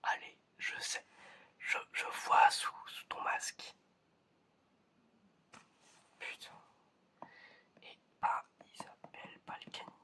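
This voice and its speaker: A person whispering an ASMR roleplay as an examiner, in a breathy, chatty, playful and hesitant way. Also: slow, long pauses, pushed and bright. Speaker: ASMR creator playing an examiner giving sense tests.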